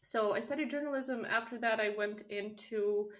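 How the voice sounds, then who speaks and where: A woman's voice: on the high side, normal speed, a bit bright. A woman from Serbia, telling her own story as an interview guest in a candid, informal, conversational way. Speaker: interview guest, business co-founder.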